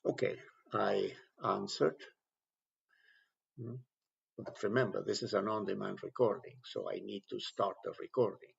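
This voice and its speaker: A man instructing step by step through a software tutorial, explaining in halting phrases. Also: on the low side, steady tone, vocal fry, slightly rough. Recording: dull, lo-fi voice-over recording.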